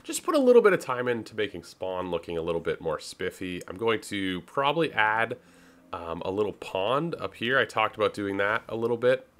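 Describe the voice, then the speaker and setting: A man from Canada hosts a casual let's play in a deep, forward voice. His intonation swings freely as he talks. He muses through his choices and drops in wry, self-deprecating asides. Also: very animated, a little fast, fairly bright tone. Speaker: gaming YouTuber, host.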